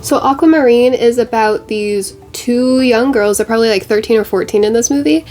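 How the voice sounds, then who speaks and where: A woman's voice: on the high side, normal speed, darkish tone, soft background music. A young woman with a congested, stuffy voice from a cold, chatting casually as a beauty vlogger while doing her makeup, full of bubbly "like" fillers. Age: young adult.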